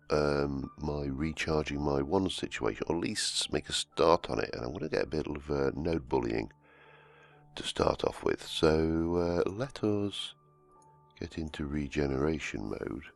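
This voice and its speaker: A man, croaky from a cold, hosting casual gameplay commentary and rambling as he plays. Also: extremely low pitch. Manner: rambling, self-correcting, humming to himself. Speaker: let's-play host. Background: soft background music.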